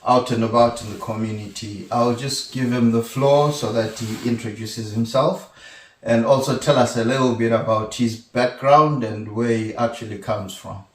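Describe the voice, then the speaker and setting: A man's voice: medium pitch, fairly even intonation, moderate pace. A man hosting an interview show, asking questions hesitantly and often repeating words. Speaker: host. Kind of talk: casual interview. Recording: echoey room, distant from mic.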